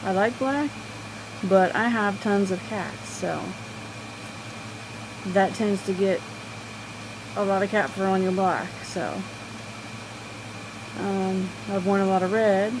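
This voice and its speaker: A woman with a quiet voice talking casually about astrology, rambling and halting with many fillers. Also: medium pitch, steady tone, background noise. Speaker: astrology vlogger. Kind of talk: casual personal talk.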